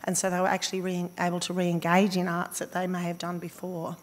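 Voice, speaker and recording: Croaky Australian woman presenting in a hall. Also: fairly low pitch, completely flat delivery, brisk. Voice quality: slightly hoarse. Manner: thoughtful, with many fillers and restarts. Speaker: conference speaker. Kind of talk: academic presentation. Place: conference hall. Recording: reverberant conference hall.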